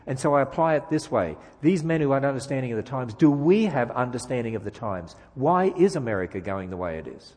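A man's voice: medium pitch, expressive, fairly quick, hardly any pauses, darkish tone, long reverb tail. A man from Australia with an Australian accent, a guest speaker giving a sermon with jokes and emphatic rhetorical questions in a church. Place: church sanctuary.

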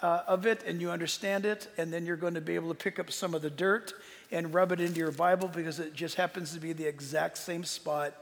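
A man giving an informal, earnest preaching talk to an audience as a Bible teacher, emphatic, with dramatic pauses. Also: fairly high pitch, fairly even intonation, gravelly. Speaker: Bible teacher and tour leader. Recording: long hall reverb.

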